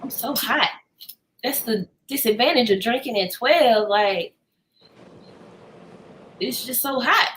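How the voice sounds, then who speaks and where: A woman's voice: on the high side, lively intonation, takes it slowly, long pauses, a bit bright, slight background noise, low-quality recording. A woman chatting casually as host of a book discussion, playful and slangy in her reactions. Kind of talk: casual book club chat.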